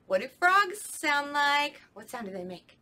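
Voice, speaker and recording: A woman's voice: sits high, big pitch swings, measured pace, pushed and bright. A young woman, a children's librarian, leading a storytime with songs, talking to kids at home in a sing-song way in a library children's room.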